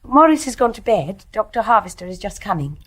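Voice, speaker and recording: An actress playing an emotional, refined young English wife in a period radio drama, with well-bred, heightened delivery. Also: female voice, mid-range voice, very animated, a little fast. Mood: bright, sociable. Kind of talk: stage-play radio drama acting.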